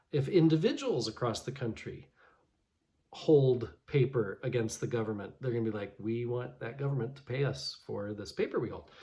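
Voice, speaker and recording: A man's voice: mid-range voice, darkish tone. Man musing casually. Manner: conversational, many restarts and fillers. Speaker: pastor. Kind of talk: casual devotional reflection.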